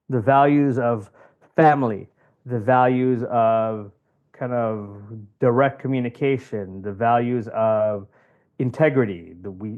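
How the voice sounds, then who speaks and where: A man's voice: mid-range voice, a little mellow, highs cut off. A man who grew up in West Africa, explaining advice conversationally as a podcast guest, with examples and repeated phrases for emphasis. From West Africa (Cameroon and Ivory Coast). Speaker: podcast guest, job interview coach.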